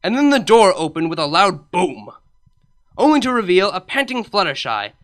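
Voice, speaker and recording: A woman's voice: lowish voice, very animated, normal speed. A woman reading a story aloud as narrator, in a scripted storytelling style. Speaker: fan fiction reader, narrator.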